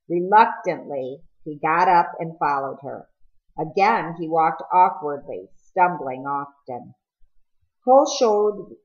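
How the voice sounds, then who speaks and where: Low-voiced woman reading a story, muffled recording. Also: darkish tone. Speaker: audiobook reader. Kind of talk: expressive storybook narration.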